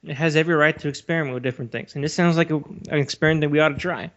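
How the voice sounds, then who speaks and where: A man's voice: on the high side, fairly even intonation, racing. A man co-hosting a podcast, explaining his opinions in casual, argumentative discussion. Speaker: podcast co-host. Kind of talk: casual discussion of video games. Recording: dull, lo-fi voice recording.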